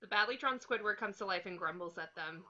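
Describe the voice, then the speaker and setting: A woman's voice: mid-range voice, quick pace, pushed and bright. A woman narrator reading stage directions from a script, recorded with slightly dull, lo-fi sound.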